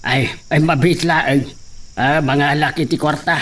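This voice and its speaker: Earnest old Ilocano peasant father, highish voice. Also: fairly even intonation, unhurried, fairly bright tone. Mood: homely, practical. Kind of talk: radio drama acting.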